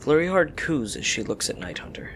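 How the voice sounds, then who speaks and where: A man's voice: fairly high pitch, sing-song, fairly quick, a bit bright, in a whisper. A man reading scripted story narration for an audio drama.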